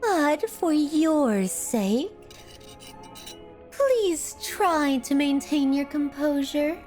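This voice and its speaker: A woman's voice: sits high, expressive, a little mellow, soft background music, exaggerated voice. A woman playing an obsessive, doting yet menacing sculptor in an ASMR roleplay, speaking in a florid, grandiose, theatrical way. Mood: soothing menace. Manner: florid, pompous, drawn-out sweet menace.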